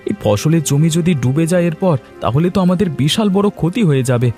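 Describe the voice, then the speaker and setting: A man's voice: fairly high pitch, expressive, fast, mellow, lightly acted. A man voicing a deferential younger brother, a village farmer, in a cartoon, with music behind him. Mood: worried. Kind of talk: cartoon dialogue acting. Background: background music.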